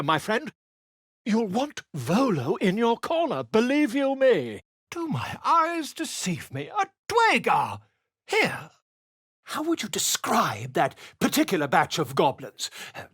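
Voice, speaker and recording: A pompous, flamboyant middle-aged author in a video game, nosy and fussy. His husky voice swoops animatedly up and down as he talks in florid, wordy phrases and wheedles. The sound is close and dry. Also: sits high, a bit bright, no room sound, full character voice.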